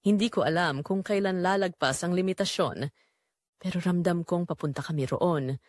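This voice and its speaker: A woman's voice: lowish voice, moderate pace, dry recording, studio quality. A woman with a Filipino accent reading a scripted first-person story as the narrator of an audio drama.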